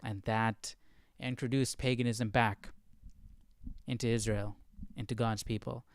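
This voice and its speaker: A man's voice: medium pitch, level tone, a little slow, no echo. A man talks casually as the host of a Bible study stream, with a clean, resonant voice recorded dry. He speaks off the cuff, searching for his words and drawing out phrases for emphasis. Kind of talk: casual unscripted commentary.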